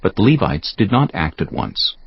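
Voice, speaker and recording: A man with a gravelly voice giving measured, solemn narration for a dramatized audio Bible. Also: low-pitched, huge pitch swings, dry recording. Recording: dull audio with soft music behind. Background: soft background music.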